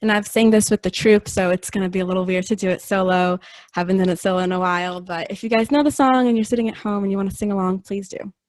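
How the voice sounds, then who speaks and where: A woman's voice: mid-range voice, fairly even intonation, fast, a little mellow, no echo. Chatty woman, dry close sound. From Israel and the United States, raised in both. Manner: chatty, informal. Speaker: singer. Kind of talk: casual spoken introduction before a performance. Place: at home, on an online video call.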